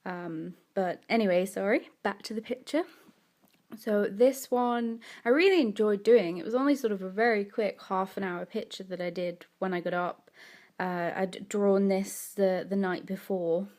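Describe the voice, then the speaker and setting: A woman talks casually about her artwork, sounding a little stuffy from a cold. She rambles and corrects herself as she goes, in a mid-pitched voice. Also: normal speed, darkish tone. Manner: rambling, self-correcting, chatty. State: has a cold, a bit sniffly. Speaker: art vlogger. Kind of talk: casual chat over a drawing.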